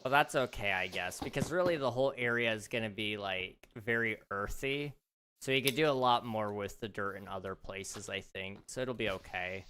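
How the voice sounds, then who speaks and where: A man's voice: on the low side, normal speed, background noise. A man hosting a casual gaming livestream, rambling and chatty with lots of fillers, his voice slightly strained as he starts to lose it. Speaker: livestream host.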